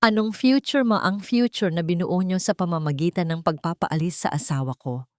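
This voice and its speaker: Embittered son confronting a parent, played by a female storyteller, audio story, lowish for a woman, confrontational. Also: fairly quick, darkish tone, dry recording, studio quality, natural voice. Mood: bitter. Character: bitter, defiant adult son standing up to his mother.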